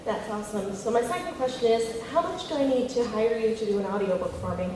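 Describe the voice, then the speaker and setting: A woman's voice: on the high side, quick pace, a loud crowd. A woman speaking casually from the audience as she puts a question to a panel guest. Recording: echoey hall, long reverb, distant, faint noise.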